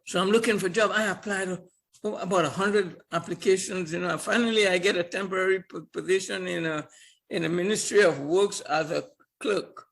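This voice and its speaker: An older man, a podcast guest telling his life story in an animated way, chuckling and repeating words as he goes. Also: high voice, a little fast, vocal fry. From Trinidad and Tobago. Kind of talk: casual storytelling interview.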